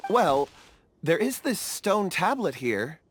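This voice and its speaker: An actor playing a gentle young scholar who studies stones, speaking thoughtfully and unhurriedly. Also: male voice, sits high, sing-song, takes it slowly, heavy background noise, heavily acted. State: faint and breathy after a dizzy spell. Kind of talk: game cutscene dialogue.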